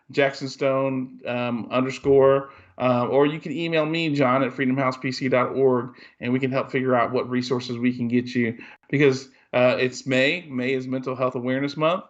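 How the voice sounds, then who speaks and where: A man's voice: medium pitch, muffled recording. A man co-hosting a podcast, talking in an earnest, rambling way with lots of ums and restarts. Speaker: podcast co-host. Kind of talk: casual podcast chat.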